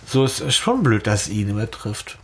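A man's voice: fairly low pitch, sing-song, moderate pace. A man talking casually and bluntly as a host on a fan podcast. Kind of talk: casual fan podcast chat about books and audio dramas.

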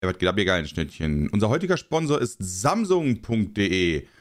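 A man, a podcast co-host with a cold, reading a sponsor spot in a jokey, casual way with big playful swings in pitch. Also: sing-song, moderate pace. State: has a cold.

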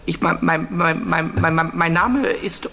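A man's voice: a little high, unhurried, vocal fry, sharp tone, a little hiss or hum, full character voice. A man playing a cheeky, nervous castle ghost in a children's radio play, with a theatrical, stammering delivery.

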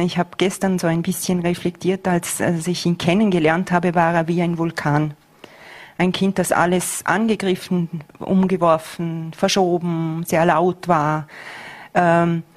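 A middle-aged woman, a school head answering interview questions earnestly, with frequent hesitations. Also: lowish voice. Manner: earnest, measured, with many hesitations.

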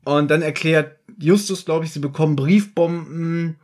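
A man's voice: fairly high pitch, steady tone, moderate pace, a little mellow. A man hosting a podcast, chatting casually as he recaps an audio drama. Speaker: podcast host.

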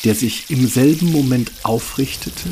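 A man's voice: medium pitch, a little slow, noisy background. A man narrating a scripted fairy tale as storyteller. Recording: music underneath.

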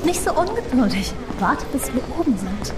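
A flirtatious, loving woman, played by an actress in a radio play. Her voice is high and lively, heard from a little distance with music behind. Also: moderate pace. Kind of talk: audio drama acting.

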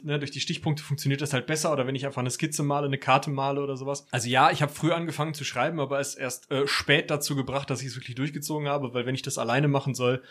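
A man's voice: mid-range voice, fairly even intonation, fast, hardly any pauses, a bit bright. A man chatting casually as a podcast guest, telling anecdotes in a colloquial, self-deprecating way. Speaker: podcast guest, hobby role-player. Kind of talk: casual chat.

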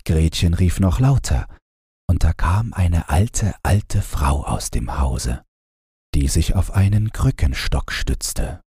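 Very deep, husky male storyteller. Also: no echo. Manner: calm, unhurried storytelling. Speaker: audiobook narrator. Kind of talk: scripted fairy-tale narration for falling asleep.

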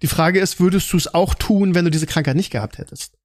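A man's voice: high voice, a little fast, a little mellow, no room sound, clean studio sound. A man chatting casually as a podcast co-host. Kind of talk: casual chat.

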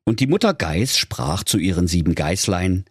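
A man's voice: low voice, very animated, normal speed, husky, no echo, studio quality. A man telling a scripted comic fairy tale in a mock-solemn storybook manner.

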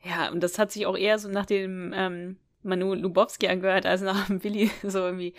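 A woman's voice: mid-range voice, flat delivery, brisk. A woman co-hosting a podcast, casually discussing an audio drama and often giggling as she talks. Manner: giggly, often laughing through sentences. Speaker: podcast co-host.

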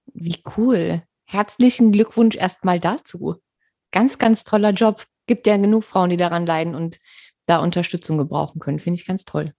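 A woman hosting a podcast, talking in an animated way and often repeating words as she goes. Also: on the low side, moderate pace, vocal fry, no room sound, studio quality. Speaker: podcast host. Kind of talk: casual podcast conversation.

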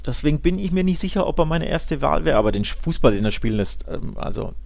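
A man's voice: a little high, animated, quick pace, vocal fry, a little mellow. A man co-hosting a football podcast, giving thoughtful analysis and weighing his points with fillers and asides. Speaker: podcast co-host.

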